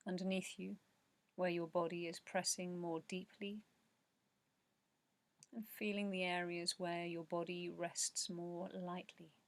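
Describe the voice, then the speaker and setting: Low, even-voiced woman guiding meditation. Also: dry recording. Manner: slow, soothing, measured, with long pauses. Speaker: meditation guide. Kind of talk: guided meditation narration, yoga nidra.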